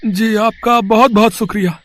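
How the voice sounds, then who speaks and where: A humble poor village vendor played by a man in a storybook drama. The voice is high for a man and dry, with an earnest, hesitant, polite manner. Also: steady tone, normal speed, no echo, lightly acted. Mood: polite, grateful. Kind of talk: acted storybook dialogue.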